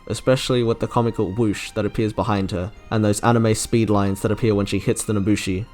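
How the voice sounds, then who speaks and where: A man giving a scripted review narration as a video host. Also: on the low side. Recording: music behind the voice. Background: music.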